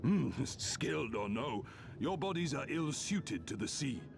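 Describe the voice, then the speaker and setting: A man's voice: mid-range voice, sing-song, measured pace, vocal fry, long reverb tail, slight background noise. A man voicing a kindly, ancient turtle-like sea spirit from a game, speaking in a formal, gracious way. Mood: solemn.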